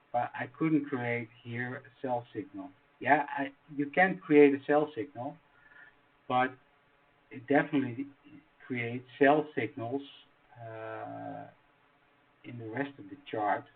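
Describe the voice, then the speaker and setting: A man from the Netherlands with a Dutch accent, explaining a trading strategy in English, which is not his first language. He talks haltingly, with many fillers and restarts. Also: mid-range voice. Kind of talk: screen-share tutorial. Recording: tinny phone-like audio.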